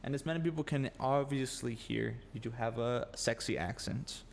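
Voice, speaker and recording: A man's voice: medium pitch, moderate pace, vocal fry. Joking, foul-mouthed podcast host man. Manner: joking, profane, teasing. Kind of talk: casual podcast chat. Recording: long room reverb, faint noise.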